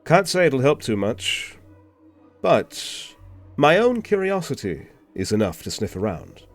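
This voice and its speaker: A man playing a refined, polite, world-weary information dealer with theatrical, hesitant pauses in a fantasy audio roleplay. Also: medium pitch, very animated, faint music behind. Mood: apologetic, sympathetic.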